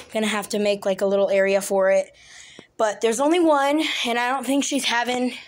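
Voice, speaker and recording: A woman with a raspy voice, a little sick and clearing her throat, chatting casually behind a phone camera in a room, with lots of ums and likes. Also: on the high side, a little hiss or hum. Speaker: pet channel host. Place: small room indoors. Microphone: handheld phone, mostly behind the camera.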